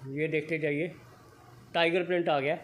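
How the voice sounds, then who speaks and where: Man in his own voice, fairly high-pitched, pushy sales hype, phone-camera sound in a shop. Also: flat delivery, moderate pace. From Punjab, India. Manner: upbeat, repetitive hard-sell patter. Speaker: shop video vlogger. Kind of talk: excited sales walkthrough. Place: indoor blanket shop.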